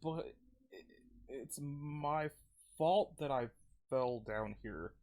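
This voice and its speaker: A woman puts on a wounded, despairing man for a comic read-aloud. The voice is low and faint, halting and very slow, heavy with guilt. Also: warm tone, full character voice. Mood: guilt-ridden.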